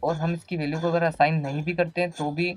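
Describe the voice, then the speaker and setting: An Indian man gives step-by-step tutorial narration in a mid-pitched, fairly flat voice. He explains as an instructor would, repeating key phrases. The sound is dry and close, with faint music behind him. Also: fast talker, no echo. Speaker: programming instructor.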